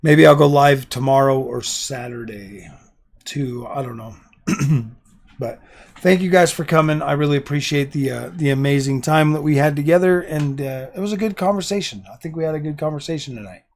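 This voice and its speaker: Hoarse male streamer, rambling chat. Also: a little high, normal speed, darkish tone. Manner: rambling and indecisive, repeats himself. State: hoarse, losing his voice. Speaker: livestream host. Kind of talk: casual live stream chat with viewers. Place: home gaming room.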